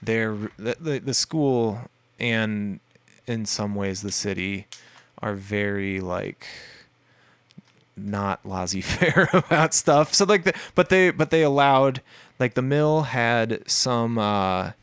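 A man with a deep voice, a musician guest in a casual interview, telling a rambling story full of fillers and restarts. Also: lively intonation, takes it slowly, fairly bright tone, smooth, dry recording.

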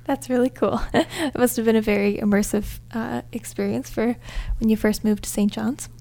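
A woman's voice: a little high, steady tone, normal speed, vocal fry, dark tone. A young woman hosting a casual podcast interview.

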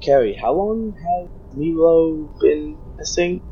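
A man's voice: fairly high pitch, expressive, slow pace. A man playing an observant, helpful investigator's companion in a tabletop role-playing game, tentative and understated. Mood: uneasy, puzzled. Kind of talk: improvised tabletop role-play. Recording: dull, slightly noisy microphone.

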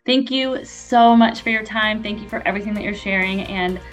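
A woman's voice: medium pitch, moderate pace, faint music behind. A woman hosting a podcast interview who is also a foster mom, talking in an effusive, affirming way with many fillers. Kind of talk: warm-hearted interview hosting.

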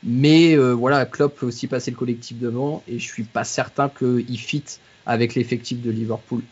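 Husky guy hosting a footy podcast, passes the mic around, lo-fi. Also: mid-range voice, fairly even intonation, normal speed, darkish tone. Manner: hands over questions to guests. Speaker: podcast host. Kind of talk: moderating a podcast.

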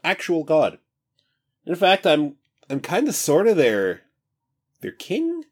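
A man voicing a chatty ancient male god-king who stammers and rambles with breezy excitement. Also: fairly high pitch, slow, pitched above the performer's own voice, exaggerated voice. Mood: rambling, glib.

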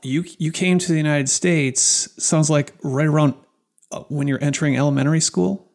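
A podcast host asking a guest questions in a curious, unhurried way. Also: mid-range voice, fairly even intonation, darkish tone. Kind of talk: interview conversation.

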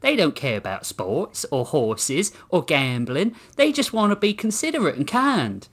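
A narrator playing a high-pitched, gushing frontier woman. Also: big pitch swings, a little slow, slightly rough. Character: besotted saloon woman, earnest and pleading.

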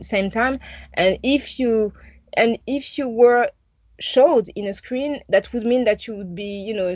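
A woman's voice: medium pitch, darkish tone, no room sound. French-accented woman, dry dull sound. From France. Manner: frequent hesitations and restarts, thoughtful. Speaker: interview guest, journalist. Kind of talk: conversational interview answers.

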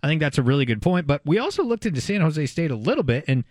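A man's voice: on the high side, sing-song, fast, darkish tone, no echo. American man in dry-sounding sports talk. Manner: conversational, thinking aloud. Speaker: sports talk show host. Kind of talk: casual sports discussion and analysis.

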